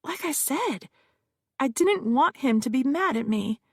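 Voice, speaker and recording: A woman's voice: high voice, lively intonation, a little slow, breathy edge, dry recording, voice pushed up, full character voice. A defensive young woman on the witness stand, as a narrator voices her, drawling in a Southern California accent and keeping her answers short. The voice sits close and dry, with no room sound.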